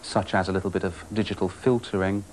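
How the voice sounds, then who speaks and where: A man's voice: low voice, fairly even intonation, fast talker, a little mellow. A man in his thirties explaining technology as a company spokesman in an interview. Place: small office, indoors. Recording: faint office room noise.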